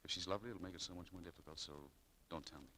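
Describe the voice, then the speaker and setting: An actor playing a proud, bitter young shop clerk in a 1940s radio drama, needling and prone to stammering, on a noisy old recording. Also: male voice, sits low, racing, bright, forceful tone. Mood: dejected.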